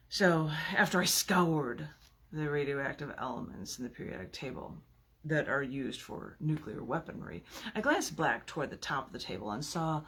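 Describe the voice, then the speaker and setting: A woman's voice: rumbling low, creaky, breathy edge. A woman reading her own poetry aloud in an informal, theatrical and emphatic way, with playful, chatty asides.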